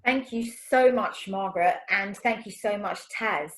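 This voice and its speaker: A woman's voice: mid-range voice, measured pace, fairly bright tone, low-quality recording. A woman hosting an online session, graciously thanking the speakers before she takes over. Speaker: host.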